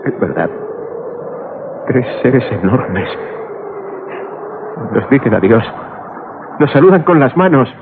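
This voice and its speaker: An actor with a Castilian Spanish accent playing an anxious, awestruck father in a dramatic, excitable 1980s radio drama style. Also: mid-range voice, slow, pauses often. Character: anxious, awestruck father stranded with his family. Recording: tinny, noisy 1980s radio recording.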